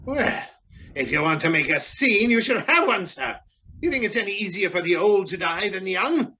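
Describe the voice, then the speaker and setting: Man acting a sharp, crotchety old gentleman. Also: high voice, animated, moderate pace. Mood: indignant, blustering. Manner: blustering, pompous. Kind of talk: radio drama acting.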